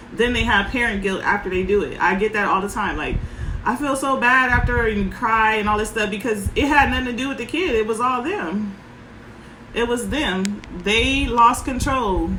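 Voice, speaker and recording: A woman parenting coach with a soft voice, giving frank advice in short, emphatic sentences. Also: mid-range voice, reverberant, a little hiss or hum, rough recording. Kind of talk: casual advice talk.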